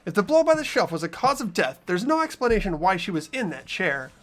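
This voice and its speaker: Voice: medium pitch, big pitch swings, a little fast, fairly bright tone. A player voicing an earnest young student sleuth. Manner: earnest, reasoning aloud. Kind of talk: fan voice acting of a game.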